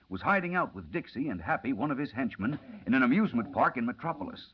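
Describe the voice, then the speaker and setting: A man's voice: medium pitch, husky. A man giving formal, suspenseful scripted narration in the style of a 1940s radio serial. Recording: muffled old-time radio recording.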